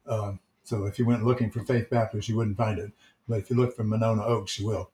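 A man who is a church elder, gently telling stories from his church life in casual, reflective talk. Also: fairly low pitch, little pitch movement, brisk, a little hiss or hum. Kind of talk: casual storytelling. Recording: roomy, echoey sound.

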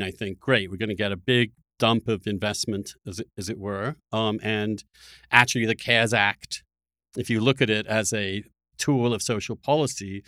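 Man in halting, skeptical political conversation. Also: mid-range voice, fairly bright tone, dry recording, clean studio sound. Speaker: podcast host.

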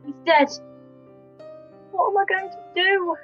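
A woman's voice: very high-pitched, measured pace, lo-fi sound, soft background music. An actress playing a frightened, panicked young woman in an audio drama flashback.

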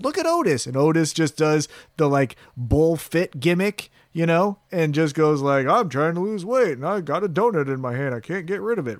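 A man co-hosting a wrestling podcast, talking in a blunt, profane, animated way. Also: a little high, dry recording. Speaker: podcast co-host. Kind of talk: casual wrestling chat.

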